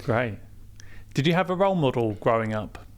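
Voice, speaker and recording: A man's voice: medium pitch, expressive, normal speed. A middle-aged man hosting a business podcast, reading a polished intro and then asking interview questions. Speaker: podcast host, CEO.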